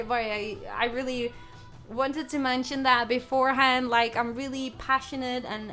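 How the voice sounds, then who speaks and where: A young woman from the Netherlands with a Dutch accent, chatting excitedly and giggling on a gaming live stream as she reads out viewers' comments. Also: on the high side. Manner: excitable, giggly, reading chat aloud. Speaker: gaming livestreamer. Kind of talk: casual live stream chat.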